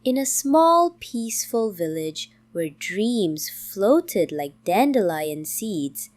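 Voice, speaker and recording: Very slow, soothing woman storyteller. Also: medium pitch. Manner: calm, gentle, unhurried with frequent pauses. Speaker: bedtime story narrator. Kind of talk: slow, soothing scripted bedtime storytelling for toddlers.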